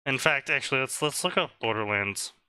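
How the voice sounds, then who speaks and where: A man co-hosting a casual stream, talking slowly and deliberately, often checking "right?". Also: medium pitch, animated, crowd noise. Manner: explanatory, slow and deliberate, asks "right?" often. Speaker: stream co-host.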